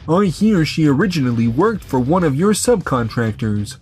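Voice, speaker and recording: A man's voice: a little high, very animated, moderate pace, a little mellow. Stern corporate client for manga dub, performed by a man: formal, principled, coolly reproachful, faint music underneath. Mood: stern, disappointed. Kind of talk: scripted manga dub acting.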